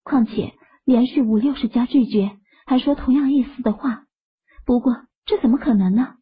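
An actress voicing an anxious young woman adrift at sea in an audio drama, with a breathy, husky voice. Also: a little high, moderate pace. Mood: puzzled. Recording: slightly dull lo-fi audio.

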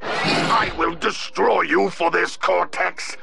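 Gravelly, menacing evil spirit. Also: male voice, sits high, fairly even intonation, slow pace, full character voice. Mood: threatening. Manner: menacing, commanding. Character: imperious, angry captive evil mask spirit. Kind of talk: cartoon video game cutscene acting.

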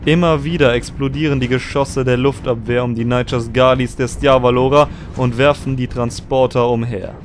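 A man as solemn sci-fi narrator, mid-pitched. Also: steady tone, normal speed, few pauses, faint music behind, close to an everyday voice. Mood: solemn, descriptive. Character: solemn male narrator of a space war saga. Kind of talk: scripted narration.

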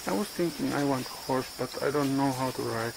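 A man in his forties vlogging casually outdoors on a farm, speaking in halting English and searching for words, recorded on a handheld phone. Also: mid-range voice, vocal fry, noisy background. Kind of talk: casual vlog narration. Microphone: phone camera at arm's length. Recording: outdoor ambience with insects and animals.

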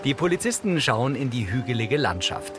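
A man's voice: mid-range voice, sing-song, fairly quick. A man giving neutral, factual audio description in scripted present-tense narration. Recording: music behind the voice.

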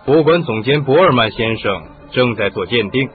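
A man's voice: medium pitch, expressive, moderate pace, soft background music, natural voice. Guy doing a firm police inspector in a radio play, mid voice, tinny audio. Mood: skeptical. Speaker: radio drama actor. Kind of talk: radio drama acting. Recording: muffled phone-like radio recording.

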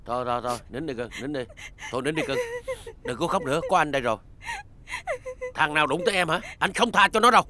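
A man's voice: very high voice, lively intonation, fairly quick, pauses often, crowd noise. An actor playing a stern, hot-tempered middle-aged father. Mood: soothing. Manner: tender to his wife, furious to the rogue. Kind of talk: stage drama acting. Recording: music behind the voice.

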